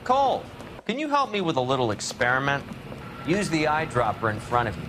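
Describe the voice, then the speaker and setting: An actor playing a helpful, frank police forensics colleague in a video game, talking in a matter-of-fact, conversational way. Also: male voice, mid-range voice, sing-song, brisk, fairly bright tone, slight background noise. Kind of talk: cinematic game dialogue.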